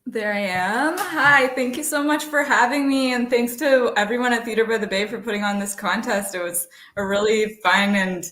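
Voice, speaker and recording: A woman's voice: a little high, moderate pace, few pauses, a bit bright. A woman, chatting informally as a guest playwright being interviewed on a call. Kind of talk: casual conversational interview answers. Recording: echoey room on a call.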